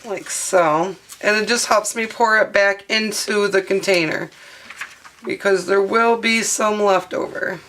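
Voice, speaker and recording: A hoarse woman in her thirties, her voice partly lost, chatting casually with many fillers as she talks through a craft tutorial at her home studio desk. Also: mid-range voice, fairly even intonation, unhurried, fairly bright tone, a little hiss or hum. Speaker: craft video host. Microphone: overhead camera mic, speaker off-frame.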